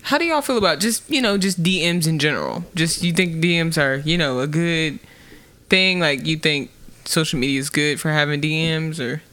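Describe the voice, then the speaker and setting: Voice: moderate pace, no room sound. A podcast co-host in casual chat who jokes around and steers the talk to the next topic. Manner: playful, laughs high and loud.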